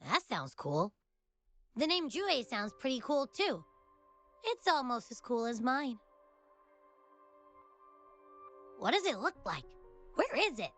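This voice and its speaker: Squeaky, excitable little boastful creature. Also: female voice, a little slow, faint music behind, exaggerated voice. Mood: cocky. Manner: cocky, excitable, whiny. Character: boastful, gluttonous, childlike little shapeshifting creature companion. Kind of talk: animated video game voice acting.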